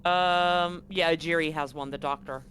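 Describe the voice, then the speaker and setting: A game master with a medium-pitched voice talks casually through a tabletop role-playing session. The delivery is informal and explanatory. Some background noise sits behind the voice. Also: fairly even intonation, measured pace, a bit bright. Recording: clearly noisy background.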